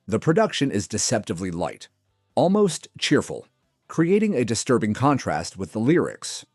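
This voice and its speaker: A man's voice: mid-range voice, huge pitch swings, moderate pace, darkish tone, husky, no echo, clean studio sound. A man reading a scripted music countdown as its narrator.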